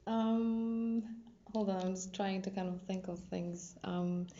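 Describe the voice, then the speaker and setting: A woman's voice: medium pitch, steady tone, slow, mellow. A woman in her twenties from Poland with a Polish accent, a drama student talking casually and hesitantly about herself, in a dull lo-fi recording. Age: 20s. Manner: hesitant, many ums and restarts. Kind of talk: casual personal talk.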